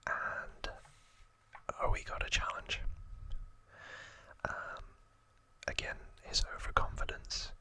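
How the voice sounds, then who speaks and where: A man hosting an ASMR video, whispering trivia in a breathy, unvoiced, soft and informal way. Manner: soft, unhurried, informal retelling.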